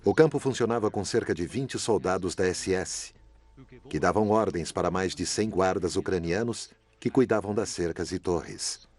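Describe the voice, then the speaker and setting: A man giving measured, grave scripted narration for a documentary. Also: low voice, a little mellow, slightly rough.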